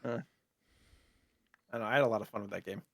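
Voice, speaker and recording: A man's voice: lowish voice, quick pace, gravelly, dry recording, clean studio sound. A man with an American accent in casual conversation, co-hosting a gaming podcast. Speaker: co-host of a retro gaming podcast. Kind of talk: casual chat about video games.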